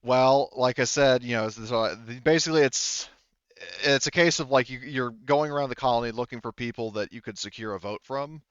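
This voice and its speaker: A man's voice: mid-range voice, brisk, fairly bright tone, clean tone, dry recording, muffled recording. A man narrating a tabletop roleplaying game as game master, speaking casually in improvised, hesitant phrasing.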